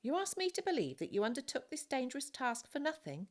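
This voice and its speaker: A woman's voice: high-pitched, sing-song, quick pace, voice pushed up. A woman voicing a pompous male army lieutenant who questions formally as a prosecutor in an audiobook. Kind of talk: audiobook character dialogue.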